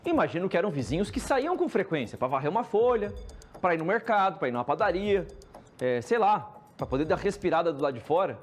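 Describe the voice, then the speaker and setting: A Brazilian man anchoring TV news, pressing a field reporter with questions and thinking out loud. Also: sits high, very animated, fast, a little hiss or hum. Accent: Brazilian Portuguese.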